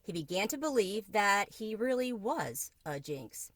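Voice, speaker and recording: A woman narrates a children's story with expressive, read-aloud delivery. Her voice sits at a middle pitch, and the recording is dry and close, with little room sound. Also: measured pace, no echo. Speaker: storybook reader.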